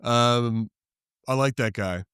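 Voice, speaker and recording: A man co-hosting a live paranormal podcast in a loose, playful chat style, with a low voice he likes to push even deeper. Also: takes it slowly, clean tone, dry recording, clean studio sound. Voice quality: self-described low voice. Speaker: podcast co-host. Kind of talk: casual live-stream chat.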